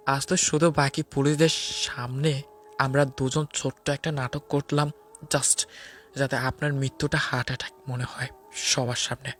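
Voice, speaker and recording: A man playing a stern, commanding investigator with a cold, vengeful streak in an audio drama, speaking deliberately. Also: mid-range voice, fairly bright tone, close to an everyday voice. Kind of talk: dramatised audio love-crime story. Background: music.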